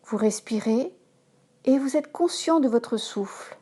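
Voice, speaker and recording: A woman yoga instructor leading a guided relaxation in a calm, measured manner, giving instructions in short phrases. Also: on the high side, slightly rough. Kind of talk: slow guided relaxation meditation.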